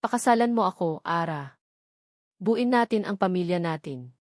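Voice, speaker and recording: A woman's voice: lowish voice, expressive, moderate pace, a little mellow, dry recording, natural voice. Woman as devoted father and suitor, earnest, tender, solemn, storybook drama, dry close sound. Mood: earnest, loving.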